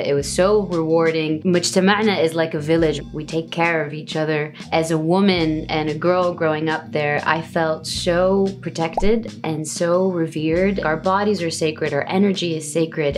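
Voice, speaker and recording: A woman from Arabia, hosting a direct-to-camera video diary in a frank, playful, candid way. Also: deep voice. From Saudi Arabia.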